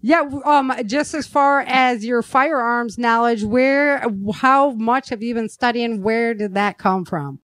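A woman's voice: on the high side, measured pace, hardly any pauses, fairly bright tone, no room sound. A woman hosting a podcast, chatting and interviewing in an enthusiastic, informal way. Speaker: podcast host. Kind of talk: upbeat casual interview chat.

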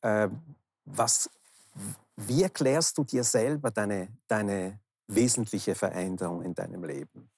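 Male talk host, fifties, medium-pitched and husky, conversational, feels for his words, close dry mic. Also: measured pace, a little mellow, dry recording. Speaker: talk show host, interviewer. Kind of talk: conversational interviewing. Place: large indoor bar hall, seated interview. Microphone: lapel microphone.

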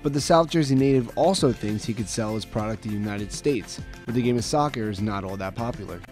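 A man reads a sports feature voice-over in a measured, mellow tone. Soft music plays behind him. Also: on the low side. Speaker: reporter. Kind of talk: scripted news narration.

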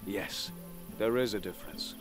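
An actor playing a weary, grim assassin in an audio drama, speaking in a serious, measured, admonishing way. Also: male voice, lowish voice, over music, lightly acted. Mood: grim warning. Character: weary, grim male assassin, bluntly cautionary.

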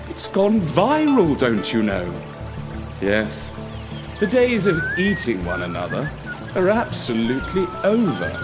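A man voicing a sly, flattering fox with theatrical, smooth talk in a children's storybook. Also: high-pitched, voice pushed up, exaggerated voice. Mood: grandly persuasive.

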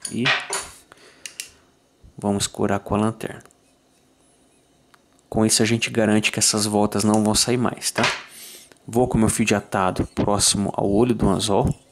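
Man, low-pitched, calm and methodical, fly tying tutorial, Brazilian, frequent check-ins. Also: moderate pace, pauses often, slight background noise. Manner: calm, methodical, ends phrases with "tá?". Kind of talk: step-by-step tutorial voice-over.

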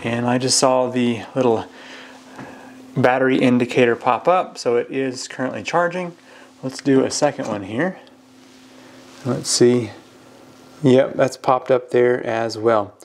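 An American man in his thirties giving a casual, hands-on product review. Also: medium pitch.

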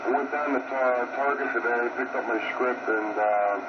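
A man's voice: medium pitch, fairly even intonation, normal speed. Man, CB radio, heavy noise, dull band, folksy rambling chat. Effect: voice through CB radio. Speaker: CB radio operator. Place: heard from a radio set's speaker in a room. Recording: noisy dull CB radio, far from mic.

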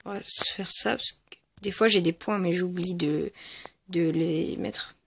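A gaming video creator giving casual, hesitant and rambling commentary over gameplay, speaking in a medium-high voice. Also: fairly even intonation, unhurried, creaky, a bit bright, a little hiss or hum. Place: at home, voice-over on mobile gameplay recording.

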